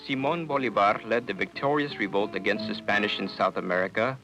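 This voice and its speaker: A man giving measured, solemn scripted narration for a documentary. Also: fairly low pitch, fairly even intonation, rough recording. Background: guitar music.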